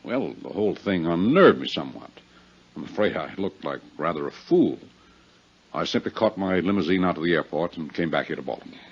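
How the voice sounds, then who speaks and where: Uneasy, earnest gentleman witness, voice swinging up and down, defensive, muffled vintage radio drama recording. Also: sits low, expressive, brisk, husky, heavily acted. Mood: earnest, unsettled. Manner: earnest, formal, touchy. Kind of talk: 1950s radio drama acting.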